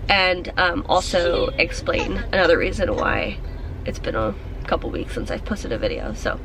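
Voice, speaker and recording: A woman's voice: lowish voice, very animated, moderate pace, vocal fry, background noise. Congested woman chatting to her camera. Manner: chatty, many ums and likes, laughs a little. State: congested from a cold. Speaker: vlogger. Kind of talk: casual chat to camera. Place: parked car.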